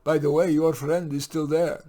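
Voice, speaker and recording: A man's voice: fairly high pitch, moderate pace, lightly acted. A man putting on a teasing Italian maid. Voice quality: giggly, animated. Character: chatty Italian housemaid, older woman, teasing.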